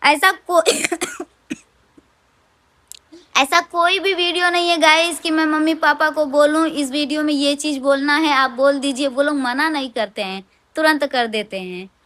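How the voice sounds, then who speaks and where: Hoarse, high-voiced woman vlogger. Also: steady tone, unhurried, sharp tone. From Uttar Pradesh, India. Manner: chatty, addresses viewers as guys. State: hoarse, sore throat and coughing. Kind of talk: casual vlog to camera. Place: outdoors at a crowded temple and at home.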